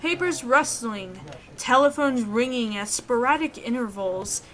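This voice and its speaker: A woman hosting a let's play, reading a visual novel aloud in an expressive way. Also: fairly high pitch, unhurried, rough recording.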